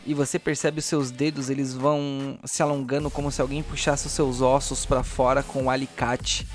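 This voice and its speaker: A man's voice: medium pitch, level tone, normal speed. A Brazilian man narrating a horror tabletop game as game master, moving between dramatic description and casual slangy asides. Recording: music playing behind the voice. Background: music.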